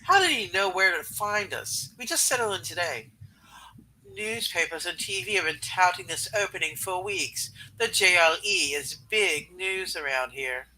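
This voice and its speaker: A man's voice: sits high, animated, normal speed, sharp tone, a little hiss or hum, poor audio. A man reading comic-book dialogue aloud in a casual amateur style.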